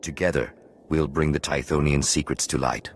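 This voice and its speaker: An actor playing a solemn, penitent hermit watchman in a video game, speaking in a measured, formal, philosophical way. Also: very deep voice, fairly even intonation, a little hiss or hum. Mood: calm, grave. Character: solemn male hermit Jedi watchman, calm, penitent, cryptic.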